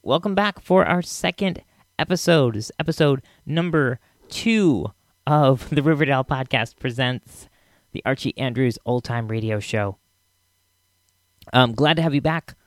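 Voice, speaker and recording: Guy podcast host, casual and rambly, medium pitch, dry close sound. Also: no echo. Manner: halting, rambling.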